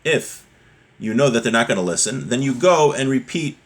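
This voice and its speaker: A man's voice: medium pitch, animated, moderate pace. A man giving a religious lesson, reading from a text and explaining it conversationally with rhetorical questions. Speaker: religious teacher.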